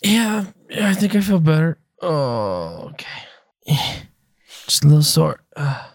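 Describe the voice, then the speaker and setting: A woman playing a tabletop game in casual character, terse and low-key, with a gravelly, husky voice. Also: very animated, slow pace, no room sound, studio quality.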